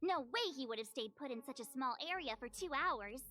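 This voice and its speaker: A woman's voice: high-pitched, animated, fairly quick, pushed and bright. A woman voicing a perky, eager teenage girl who plays detective with theatrical self-assurance, in anime-style game acting. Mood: musing.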